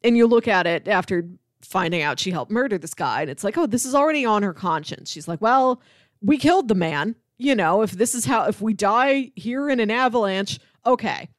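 A woman's voice: medium pitch, animated, fairly quick, dry recording. Female podcast co-host, middle register, casual film talk with acted-out paraphrases, dry studio-like sound.

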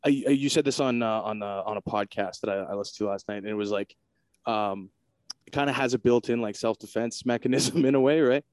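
Man, interviewer, low voice, smooth and resonant, dry sound, halting fillers. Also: darkish tone, dry recording. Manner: hesitant, full of fillers and restarts. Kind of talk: casual interview chat.

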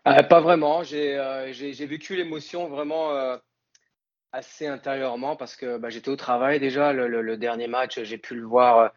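A man's voice: mid-range voice, fairly even intonation, moderate pace, highs cut off. A man with a northern French accent, a guest on a casual podcast chat, talking hesitantly with fillers and restarts. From northern France. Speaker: podcast guest, team fan.

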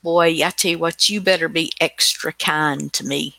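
A woman's voice: sits low, fairly even intonation, moderate pace, creaky, bright, forceful tone, dry recording. A woman hosting a radio show in casual chat, with a folksy, teasing manner.

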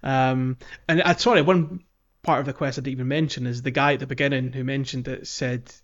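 The slightly dull recording carries a man's voice of ordinary pitch. He talks as a podcast host, casually retelling a video game and feeling his way haltingly through each sentence. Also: fairly even intonation.

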